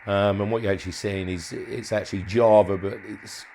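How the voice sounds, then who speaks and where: A man's voice: on the low side, steady tone, a little fast, a little mellow, a little hiss or hum. A man giving an informal hands-on demonstration as a hobbyist, thinking aloud in a hesitant way and often trailing off. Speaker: hobbyist presenter demonstrating modified radio gear.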